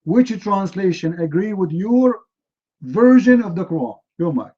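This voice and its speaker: High-voiced combative man, Arabic native. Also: expressive, measured pace, husky. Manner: combative, mocking, repeats demands. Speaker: debate host. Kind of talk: heated live online debate. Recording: dull lo-fi stream audio.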